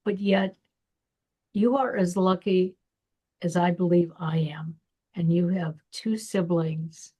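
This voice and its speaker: A woman with a gravelly voice hosting a podcast, telling a personal story in a reflective way. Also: on the low side, fairly even intonation, slow pace, long pauses, mellow. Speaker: podcast host. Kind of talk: personal conversation.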